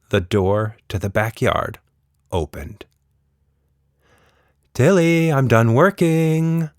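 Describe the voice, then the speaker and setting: A man's voice: mid-range voice, a bit bright, dry recording. A man hosting and narrating a children's story podcast expressively, recorded up close.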